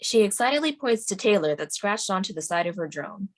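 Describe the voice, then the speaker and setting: A woman narrates a screenplay at a table read. Her voice sits at a middle pitch as she reads out scene headings and action in a scripted narrating style. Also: animated, a little fast. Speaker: stage directions reader at a screenplay table read.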